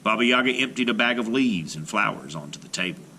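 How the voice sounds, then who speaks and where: A man's voice: fairly low pitch, lively intonation, quick pace, bright, forceful tone, slight background noise. A man reading a folktale aloud to children in a theatrical, emphatic storytelling style. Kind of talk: dramatic children's read-aloud narration.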